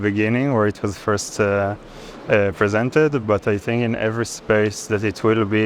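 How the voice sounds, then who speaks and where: Over a noisy urban background, a man in his thirties speaks in a low voice with an Israeli accent. He explains his art reflectively, halting and searching for the right words. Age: 30s. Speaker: artist interviewed. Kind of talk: reflective explanation of own artwork. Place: busy art fair. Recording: clear urban background noise at fair.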